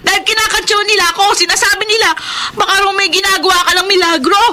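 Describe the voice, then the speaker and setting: A jealous Filipino wife in a radio melodrama, furious and sarcastic. Her voice is very high and sharp, with faint noise behind it. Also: fairly even intonation, quick pace, full character voice.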